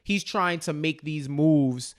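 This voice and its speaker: A man's voice: a little high, takes it slowly. A man co-hosting a casual TV recap discussion, speaking in a colloquial, slangy way and repeating phrases for stress. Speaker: podcast co-host.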